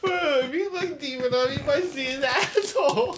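A man's voice: very high voice, moderate pace, heavy background noise. A young man in casual chat, teasing his friends and laughing through his words. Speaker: friend showing a video.

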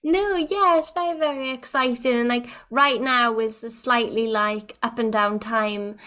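Bubbly bright-voiced woman host. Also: a little high, measured pace. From Wales. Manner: enthusiastic, lots of "like". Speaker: podcast host. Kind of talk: casual chat.

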